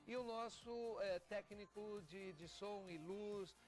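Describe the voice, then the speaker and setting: A Brazilian man from a theatre company giving an explanatory TV interview, often pausing and repeating words as he goes. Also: sits high, soft background music, rough recording. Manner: explanatory, with frequent pauses and repeats. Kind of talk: TV interview talk.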